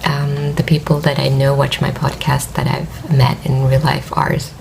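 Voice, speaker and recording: Woman, Finnish accent, low and croaky, worn out, halting with fillers, audible background noise. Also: little pitch movement, rough recording. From Finland. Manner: hesitant, rambling with many fillers and soft laughs. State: tired, voice worn. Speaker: knitting podcast host. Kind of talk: casual vlog chat.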